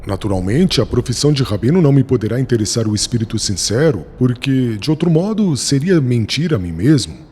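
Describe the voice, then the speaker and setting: Casting: a man playing a pleading young disciple in an audiobook, expressive delivery in a long-echo hall. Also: mid-range voice, husky. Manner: pleading, emotional. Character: young male disciple, anguished and earnest. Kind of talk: audiobook character reading.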